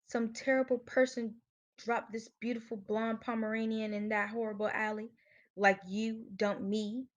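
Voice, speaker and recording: A woman's voice: a little high, little pitch movement, moderate pace, close to an everyday voice. A woman playing an indignant, dramatic jilted gangster's moll in a student radio play. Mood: smug, dramatic.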